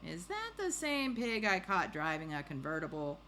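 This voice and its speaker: A woman's voice: lowish voice, wildly swooping pitch, moderate pace, bright, forceful tone, a little hiss or hum, exaggerated voice. A woman voicing an earnest, formal policeman who questions and muses aloud in a storybook. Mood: puzzled, musing.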